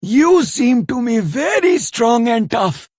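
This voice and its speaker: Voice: medium pitch, sing-song, slow pace, a little mellow, dry recording, studio quality. An actor playing an anxious old merchant in a video game, with an Indian accent and a dramatic, florid way of talking. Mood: earnest. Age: older. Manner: dramatic, florid, ungrammatical speech. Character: anxious old merchant, pleading for a kidnapped granddaughter. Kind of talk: theatrical video game voice acting.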